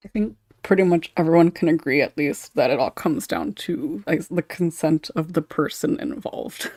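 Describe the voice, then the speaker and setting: Relaxed low-toned woman hosting, dry sound. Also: normal speed, no room sound. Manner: informal, chuckles often while talking. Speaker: podcast host and moderator. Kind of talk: casual panel discussion.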